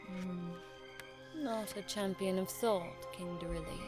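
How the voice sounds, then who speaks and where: A woman's voice: fairly low pitch, steady tone, slow pace, music in the background. A woman acting in an audio drama as a witty young noblewoman knight with an arch, teasing, theatrical manner. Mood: playful mockery.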